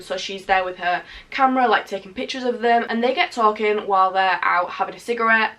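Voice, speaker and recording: Hoarse woman chatting about books. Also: mid-range voice, sharp tone. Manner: chatty, gushing, lots of fillers. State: hoarse, losing her voice. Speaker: book vlogger. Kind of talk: casual book review chat.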